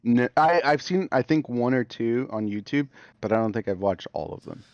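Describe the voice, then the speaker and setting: A man's voice: medium pitch, lively intonation, normal speed, a little mellow, dry recording. Rambling man on a dull, lo-fi mic. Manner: rambling, full of fillers and restarts. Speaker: podcast guest. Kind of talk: casual podcast chat.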